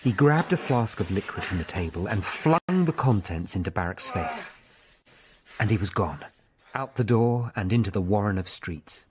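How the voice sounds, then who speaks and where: An actor playing a troubled Tudor lawyer, narrating a murder hunt gravely in a radio drama. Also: male voice, fairly low pitch, expressive, moderate pace, slight background noise, lightly acted. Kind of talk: scripted first-person narration in a radio drama.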